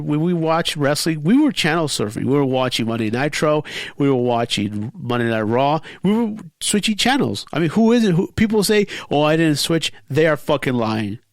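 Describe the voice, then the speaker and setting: A man's voice: fairly high pitch, dry recording, clean studio sound. Stuffy-nosed man ranting, congested voice. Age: 40s. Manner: rambling, profane, heated. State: stuffy nose from a cold. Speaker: podcast host. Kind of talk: unscripted casual podcast rant.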